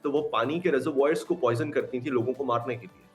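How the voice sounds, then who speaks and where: A man's voice: mid-range voice, fast talker, faint music behind. Pakistani man giving earnest expert commentary. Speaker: expert guest. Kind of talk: interview commentary mixing Urdu and English. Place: remote video call.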